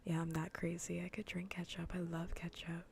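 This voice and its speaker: A woman streaming in a soft, breathy, often whispered ASMR voice, chatting informally and playfully close to the mic. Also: fairly low pitch, flat delivery, normal speed, slight background noise. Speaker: streamer hosting a live cozy gaming broadcast. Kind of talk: soft-spoken ASMR casual chat. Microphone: close ASMR microphone.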